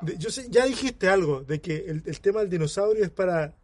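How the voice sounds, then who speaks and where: A man in his forties hosting a casual podcast interview, chatty and quick to laugh, calling his guest by name. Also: high voice, animated, a little mellow. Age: 40s. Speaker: podcast host.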